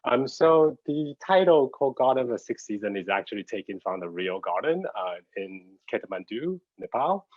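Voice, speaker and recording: A middle-aged man from Taiwan with a Taiwanese accent, giving an informal online talk as a designer in a halting, hesitant way while searching for words. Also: mid-range voice, lively intonation, no room sound. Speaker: graphic designer and studio head giving a guest talk. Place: at home, on a video call. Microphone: computer video call. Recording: dull, lo-fi video call audio.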